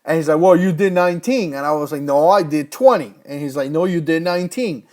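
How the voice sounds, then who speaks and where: A man's voice: a little high, fairly quick, darkish tone. A man in his thirties, born in Cuba, chatting casually on a livestream as a former Marine, rambling and correcting himself as he reads viewer comments. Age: 30s. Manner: rambling, self-correcting, storytelling.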